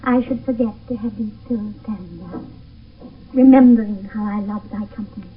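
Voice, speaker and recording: A British actress playing an ardent, anxious young maiden in love, speaking verse in a theatrical classical radio drama style. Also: female voice, mid-range voice, moderate pace, breathy edge, natural voice. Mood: tender reluctance. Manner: theatrical, fervent verse delivery. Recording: old lo-fi phone-like radio broadcast, distant.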